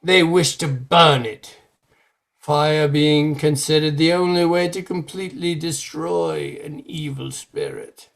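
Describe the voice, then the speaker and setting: An actor playing a grave, formal man who recounts a dark legend with deliberate drama, in old-time radio horror style. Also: on the high side.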